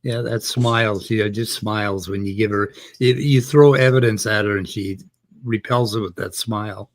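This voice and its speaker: A man's voice: fairly low pitch, steady tone. A man with a Minnesotan accent, co-hosting a casual reaction chat, agreeing readily and chiming in with short remarks. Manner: agreeable, quick interjections. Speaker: co-host reacting to videos.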